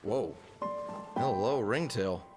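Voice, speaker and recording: A woman as wry fox adventurer, lively pitch swings. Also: loud music behind the voice, exaggerated voice. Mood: dry, teasing. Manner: wry, bantering, quick to get flustered. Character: wisecracking fox adventurer hero, cocky and flustered. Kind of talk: audio drama cartoon-like acting.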